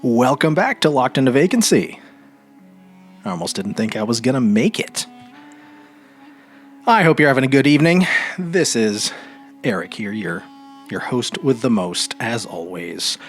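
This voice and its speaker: A man's voice: medium pitch, lively intonation, measured pace, pauses often, soft background music. An American man hosting a podcast solo, talking casually in an informal, rambling way and often interrupting himself. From Vermont, New England. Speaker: podcast host.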